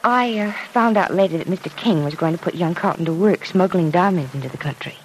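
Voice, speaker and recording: A woman's voice: on the low side, quick pace, a little hiss or hum, close to an everyday voice, poor audio. An actress playing a kind, well-off young woman in a 1940s radio drama, explaining things in an earnest way.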